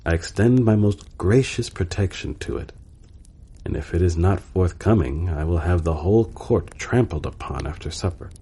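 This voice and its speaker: An imperious emperor from a storybook, read by a man, low and husky in voice. He speaks with pompous command and an air of indignation. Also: lively intonation, normal speed, dark tone. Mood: insistent, threatening. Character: imperious, indignant emperor of a fairy-tale court.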